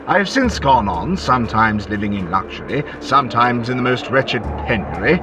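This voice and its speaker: A man's voice: mid-range voice, animated, normal speed, background noise, close to an everyday voice. A man playing a sinister ghostly gambler in an audio drama, eloquent and coaxing, with theatrical, archaic formal phrasing. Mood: brooding, obsessed.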